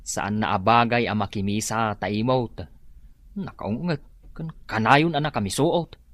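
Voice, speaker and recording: A man's voice: on the low side, a little slow, fairly bright tone, no echo. A man playing a mocking, gossipy household worker in an audio drama. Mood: amused. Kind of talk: melodramatic audio drama acting.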